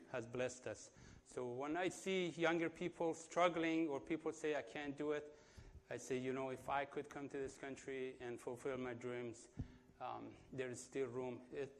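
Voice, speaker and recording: A man in his fifties from Iran, with an Iranian accent, gives his testimony in a church, pausing often with fillers and restarts. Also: medium pitch. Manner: halting, full of fillers and restarts. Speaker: guest speaker giving testimony.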